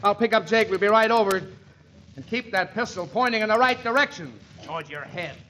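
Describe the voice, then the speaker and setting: An actor playing an exasperated criminal lawyer dragged into detective work, excitable, sputtering and sarcastic, in a 1940s radio comedy. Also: male voice, sits high, fairly quick, slight background noise, rough recording.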